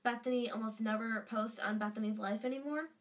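A woman's voice: on the high side, fairly even intonation, a little fast, recorded from a distance. A teenage girl with a stuffy nose from a cold, gushing in a casual fan vlog from her bedroom. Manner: chatty and gushing, lots of fillers. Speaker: vlogger. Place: bedroom indoors. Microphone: webcam at desk distance.

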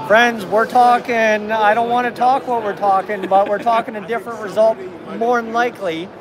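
A man's voice: high-pitched, fairly even intonation, moderate pace, rough recording. A man giving post-game sports commentary as a fan vlogger, recorded on a phone at arm's length in a busy arena entrance. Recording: loud urban crowd and traffic noise.

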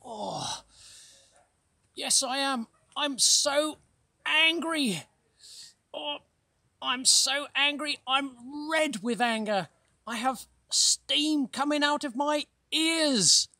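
A woman plays a grumpy bald prophet who groans and sighs through every line. He moans at a very slow, dragging pace and still lands fairly high. The sound is dry and close. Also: bright, forceful tone, dry recording, heavily acted. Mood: indignant.

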